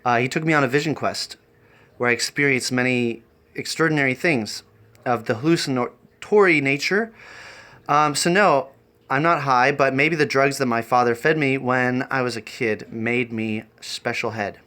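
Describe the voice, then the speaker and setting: A man chatting off the cuff on a livestream, rambling and halting in an eccentric way. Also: mid-range voice, bright, forceful tone. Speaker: live streamer and variety performer. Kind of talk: improvised livestream chat.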